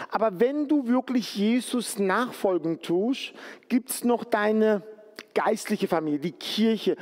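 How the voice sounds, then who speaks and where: A man's voice: high voice, darkish tone, big-room sound. A man from Turkey preaching a sermon in an earnest, conversational way. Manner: earnest, conversational, pleading at times.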